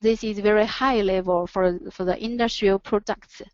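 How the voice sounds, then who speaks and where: Halting non-native woman presenter, dull audio. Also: medium pitch, fairly even intonation, no room sound. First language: not English. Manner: halting, pausing between short phrases. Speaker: webinar presenter, company product representative. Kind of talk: product presentation over slides.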